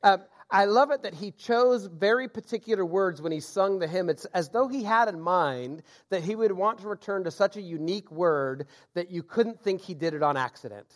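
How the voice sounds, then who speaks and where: A man's voice: high voice, moderate pace, few pauses. A man preaching a sermon, reading scripture aloud and explaining it with self-deprecating asides.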